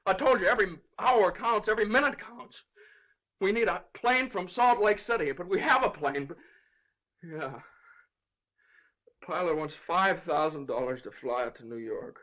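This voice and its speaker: A frantic young American father, with a high, forceful voice. He pleads and insists in an agitated old-movie melodrama manner. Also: expressive, moderate pace, muffled recording. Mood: desperate. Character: desperate young working father pleading for his sick baby. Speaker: actor. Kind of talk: old Hollywood melodrama acting.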